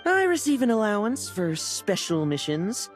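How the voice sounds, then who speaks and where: A woman playing a sly, theatrical young female knight, a smooth-talking schemer in a video game. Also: medium pitch, big pitch swings, moderate pace, faint music behind, natural voice. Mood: coaxing, sly. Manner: theatrical, coaxing.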